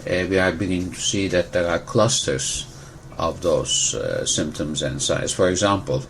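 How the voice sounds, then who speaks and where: A man explains medical matters in measured, careful sentences. He often stops to correct and rephrase himself for precision, and a faint hiss sits under his words. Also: low voice, creaky, a bit bright. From Turkey. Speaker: physician, disease expert. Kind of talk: explanatory medical interview answers.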